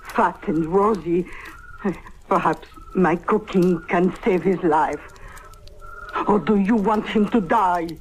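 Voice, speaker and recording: A kindly madame in a vintage radio comedy, earnest and quick to protest. She sounds indignant, on an old recording with faint noise. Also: lowish voice, rough recording. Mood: indignant, pleading. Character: indignant, kindly French madame devoted to feeding invalid.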